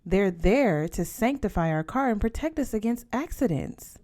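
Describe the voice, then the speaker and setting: A woman's voice: medium pitch, lively intonation, normal speed, darkish tone, close to an everyday voice. Woman reading as a chiding, devout mother. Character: protective, devout Mexican American mother, firm with her children.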